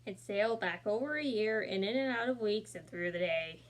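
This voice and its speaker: A woman reading a children's picture book aloud, gently talking to young listeners between pages and voicing the characters with expression. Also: medium pitch, expressive, moderate pace. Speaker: storybook reader. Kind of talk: children's read-aloud with asides.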